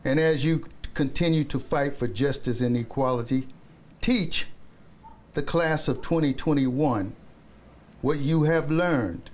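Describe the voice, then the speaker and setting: A man delivering a formal, measured address in an oratorical manner. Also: mid-range voice, darkish tone. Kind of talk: formal commencement-style address.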